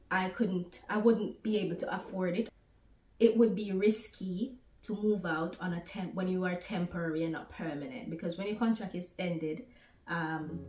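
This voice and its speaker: A woman's voice: fairly low pitch, darkish tone, distant mic. Jamaican woman in her twenties, roomy. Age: 20s. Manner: chatty, rambling, with restarts. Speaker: small YouTuber. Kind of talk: casual talk while cooking. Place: home kitchen. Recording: roomy, from off the mic, faint music.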